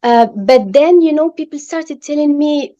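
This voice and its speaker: A Moroccan woman around forty with a Moroccan accent, a podcast guest and life coach, speaking warmly with small restarts. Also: high voice, lively intonation, normal speed, lo-fi sound. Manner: warm, laughs easily, some restarts.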